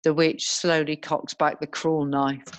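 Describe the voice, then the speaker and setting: A woman narrating a screenplay, reading scene headings and stage directions in a measured way. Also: sits low, little pitch movement, dry recording.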